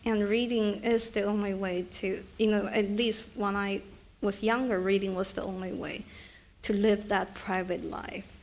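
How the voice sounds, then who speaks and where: A woman's voice: mid-range voice, flat delivery, measured pace. Hesitant woman author. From China. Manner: soft-spoken, hesitant restarts, thoughtful. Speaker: author at a reading. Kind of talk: live book event Q&A and reading aloud.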